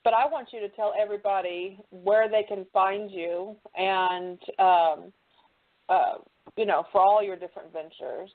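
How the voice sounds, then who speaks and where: A woman with a medium-pitched voice chats as a co-host. The sound is narrow and telephone-like, with no room around it. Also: steady tone, unhurried, no room sound. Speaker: show co-host. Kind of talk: casual interview chat. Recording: dry narrow phone-like sound.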